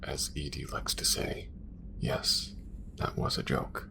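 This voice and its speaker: A man voicing a calm, devout male alien assassin in an ASMR romance roleplay, whispering in slow, hushed, formal phrases. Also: lightly acted. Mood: tender. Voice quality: gravelly whisper.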